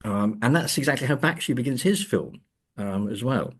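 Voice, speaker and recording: A man speaking as an academic guest in an interview, discussing in a halting way and often repeating words as he thinks. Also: medium pitch, expressive, husky. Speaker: academic and author being interviewed. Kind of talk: interview discussion of film adaptations.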